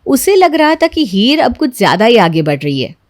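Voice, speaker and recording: Woman reading a bedtime moral story, highish voice, scripted narration. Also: sing-song, normal speed.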